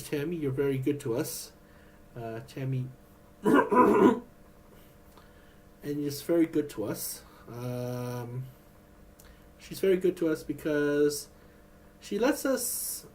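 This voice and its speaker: A man vlogging in a rambling, halting way, with many restarts and fillers. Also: on the high side, darkish tone, slight background noise. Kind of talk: rambling personal vlog.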